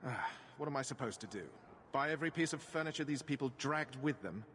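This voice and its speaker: A man's voice: medium pitch, lively intonation, fast, a bit bright, a little hiss or hum, natural voice. An actor playing a self-serving merchant in a video game, unctuous and defensive in his talk. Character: slick, self-serving, apologetic male merchant. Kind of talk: video game dialogue acting.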